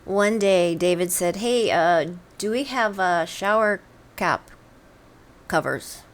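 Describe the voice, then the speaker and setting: A woman with a soft, slightly breathy voice sitting on the low side of her range. She co-hosts casually, telling short stories and steering the talk with questions. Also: takes it slowly. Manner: prompting questions, storytelling. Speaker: co-host. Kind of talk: casual interview chat.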